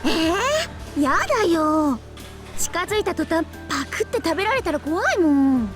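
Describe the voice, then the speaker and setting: Anime game schoolgirl, extremely high pitch, pert and wary, clear music behind. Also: sing-song, fast talker. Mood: reluctant, indignant. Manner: pert, complaining schoolgirl talk. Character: feisty, wary teenage schoolgirl classmate. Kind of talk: Japanese anime visual novel acting.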